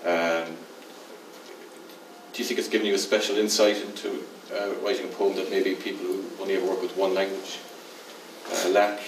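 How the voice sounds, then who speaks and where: Older guy moderating, low voice, keeps restarting questions, noisy far mic. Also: little pitch movement, normal speed. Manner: stumbling, restarts his questions. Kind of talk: moderating a panel Q&A. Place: stage in a dim theatre hall. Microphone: far camera. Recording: echoey hall from far off.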